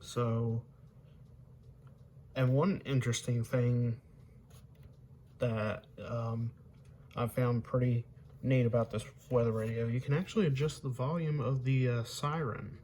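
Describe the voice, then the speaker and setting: A young man in his early twenties talks slowly at a middling pitch. He is casually showing off gear as a hobbyist, often stopping mid-sentence. Faint noise sits behind him in a home recording. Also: steady tone, mellow. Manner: halting, pausing mid-sentence. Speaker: hobbyist showing weather radios. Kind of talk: casual unscripted product demonstration.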